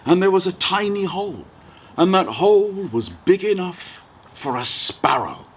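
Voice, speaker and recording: Man as a patient courtier storyteller, very animated. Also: fairly high pitch, breathy edge, voice pushed up, exaggerated voice. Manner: deliberate, repetitive, courteous. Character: deferential royal storyteller courtier, patient, teasingly slow. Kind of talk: oral bedtime storytelling. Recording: noticeable background noise.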